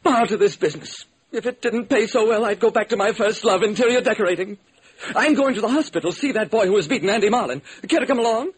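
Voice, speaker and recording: A flamboyant movie director for a radio play. The voice is fussy and dramatic, sitting very high and swinging widely with theatrical exasperation. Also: male voice, expressive, quick pace, husky. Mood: chatty, fussy. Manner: gushing, dramatic. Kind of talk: classic radio detective drama acting.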